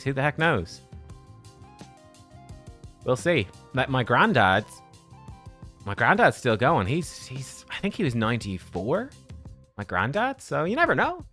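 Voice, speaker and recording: A man's voice: mid-range voice, a bit bright. A man in his thirties chatting casually with viewers on a game livestream, rambling and joking at his own expense. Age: 30s.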